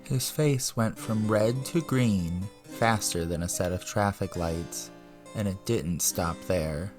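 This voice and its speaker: Guy narrating an audiobook drama, takes it slow, low-ish voice, soft music behind.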